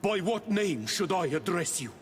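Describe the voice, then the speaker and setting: Solemn island hunter in reverb. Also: male voice, high voice, sing-song, moderate pace, husky, full character voice. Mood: solemn gratitude. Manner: solemn, ceremonious. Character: solemn, grateful male island hunter, freed prisoner. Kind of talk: fantasy game dialogue. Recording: reverberant space, music underneath.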